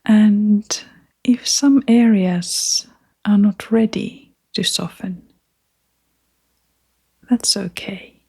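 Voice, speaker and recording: Woman, meditation guide, extremely unhurried, soft breathy half-whisper, mid-pitched, gentle, soothing, long pauses.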